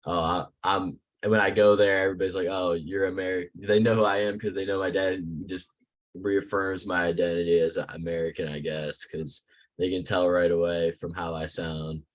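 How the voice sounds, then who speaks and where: A young man, a student, sharing his thoughts in an online class discussion, low-key and halting as he searches for words. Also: level tone.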